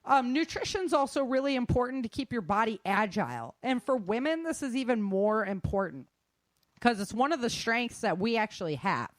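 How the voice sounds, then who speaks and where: A woman's voice: fairly high pitch, sing-song, moderate pace, no echo. Casual, candid woman speaker, dry close sound. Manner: candid, colloquial, joking asides. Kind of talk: informal talk to an audience.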